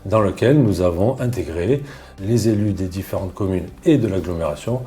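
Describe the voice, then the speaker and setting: Deep, mellow man explaining formally. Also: expressive, normal speed.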